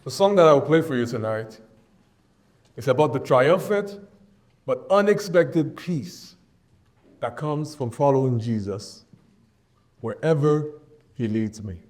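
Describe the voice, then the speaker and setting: A man from Haiti, a saxophonist, introducing his piece on stage in a heartfelt, deliberate way. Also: medium pitch, lively intonation, a little mellow. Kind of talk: live spoken introduction on stage.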